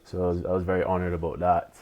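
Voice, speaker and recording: A man in his twenties from Barbados, a pro tennis player chatting as a podcast guest, relaxed and loose with lots of "you know". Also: deep voice, very fast, muffled recording. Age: 20s. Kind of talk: casual interview chat.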